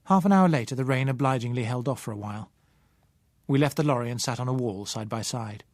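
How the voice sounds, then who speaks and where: A man with a British accent reading a novel in the first person as an audiobook narrator, in a measured, literary way. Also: mid-range voice, a little mellow, no echo.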